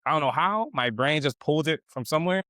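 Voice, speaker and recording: A young man from South Texas hosting a podcast in a barbershop, telling stories in slangy, animated casual chat. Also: fairly high pitch, fast, dry recording, clean studio sound. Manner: animated storytelling, slangy, says "bro" and "man" a lot. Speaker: podcast host.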